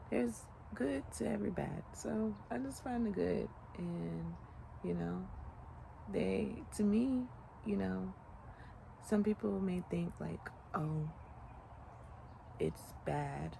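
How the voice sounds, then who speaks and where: Slow, gravelly late-twenties woman vlogging outdoors. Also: mid-range voice, a little hiss or hum, poor audio. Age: late 20s. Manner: relaxed, rambling, many "like" fillers. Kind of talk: casual reflective chat. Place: outdoors on a sunny morning in the country. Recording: clear outdoor ambience behind.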